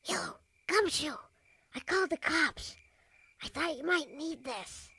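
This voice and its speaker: Woman playing a high-voiced scrappy streetwise little girl. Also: animated, slow, sharp tone, heavily acted. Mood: urgent, hushed. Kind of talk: radio detective drama acting.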